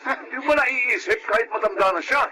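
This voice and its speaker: A man's voice: very high-pitched, fairly quick, over a noisy crowd. A man playing a stern, indignant Filipino uncle in a melodrama, lecturing with heated reproach. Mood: reproachful. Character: stern, indignant uncle defending his mother. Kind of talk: melodramatic drama acting. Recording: loud music under dialogue.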